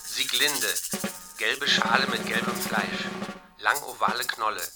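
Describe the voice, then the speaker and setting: A detached male reciter in a radio drama, played by an actor, giving catalogue entries in clipped phrases. His voice is of medium pitch. Percussive music plays clearly behind him. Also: fairly even intonation, unhurried, close to an everyday voice. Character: male reciter listing crop varieties like a catalogue. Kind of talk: scripted radio play, list recitation.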